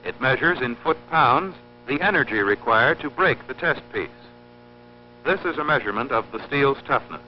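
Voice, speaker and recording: An old, muffled film soundtrack with a faint mains hum carries a man's voice. He narrates industrial instruction from a script in a formal, precise way. His pitch sits in the middle range, and his delivery stays measured and didactic. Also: animated.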